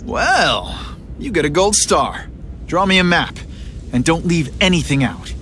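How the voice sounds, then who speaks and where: An actor playing a sarcastic, joking young superhero in a game cutscene. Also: male voice, fairly high pitch, sing-song, a little slow, fairly bright tone, slight background noise. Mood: wisecracking. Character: wisecracking young male superhero.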